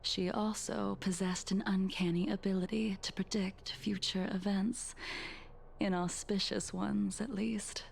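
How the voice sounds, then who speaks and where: A hushed, solemn swordswoman, slow-spoken. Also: medium pitch, vocal fry, slight background noise. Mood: somber, reflective. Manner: slow, measured, grave. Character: solemn, grieving veteran swordswoman, cool and restrained. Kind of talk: English-dubbed video game story acting.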